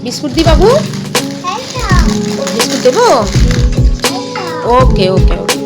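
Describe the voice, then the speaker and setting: Woman, young adult, fairly high voice, chatty homemaker vlog narration, informal explaining, loud background music. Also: sing-song, slow pace. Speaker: homemaker vlogger and mother. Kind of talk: casual narrated daily routine vlog.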